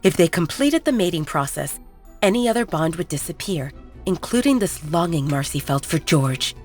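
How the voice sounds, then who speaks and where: A woman narrates a story in an even, measured manner, her voice low for a woman. Soft background music plays beneath her reading. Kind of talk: scripted audiobook narration.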